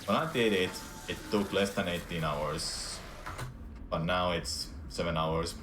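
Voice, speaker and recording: A man's voice: low-pitched, a little slow, echoey room, music in the background. A Finnish man with a Finnish accent, a speedrunner chatting casually and laughing often as he plays live. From Finland. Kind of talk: casual commentary while gaming.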